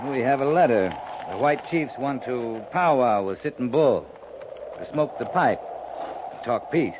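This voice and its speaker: An actor playing a dry, calm, commanding cavalry captain in a 1950s radio western, terse and understated. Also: male voice, medium pitch, measured pace, natural voice. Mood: calm, measured. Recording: muffled, hissy 1950s radio recording.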